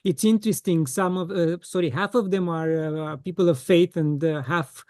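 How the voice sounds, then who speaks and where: A man's voice: sits high, moderate pace, darkish tone, dry recording, studio quality. A man hosting an interview podcast, asking questions in a hesitant way with many fillers. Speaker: podcast host. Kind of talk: casual interview conversation.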